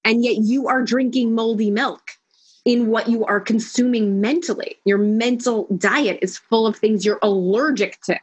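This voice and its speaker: A woman's voice: a little high, moderate pace, slightly rough. A woman speaking as a life coach in an interview, firm and emphatic, rattling through lists of points. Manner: emphatic, declarative, speeds up when listing. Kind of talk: conversational interview.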